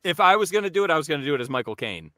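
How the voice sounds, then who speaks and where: A man's voice: fairly high pitch, sing-song, rapid-fire, sharp tone, dry recording, studio quality. A man narrating a comic book table read in a dramatic, announcer-like cartoon style.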